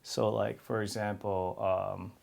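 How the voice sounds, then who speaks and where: A man talks candidly in an interview. His voice sits low and carries a gravelly edge. He laughs easily as he tells stories. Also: level tone, slow, darkish tone. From New York City. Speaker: actor, interview guest. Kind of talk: casual interview conversation.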